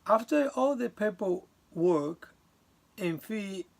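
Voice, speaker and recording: Slow, gravelly man. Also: sits high, animated. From Dominican Republic. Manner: halting and earnest, with restarts and some non-native grammar. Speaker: student. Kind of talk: class presentation recorded on video.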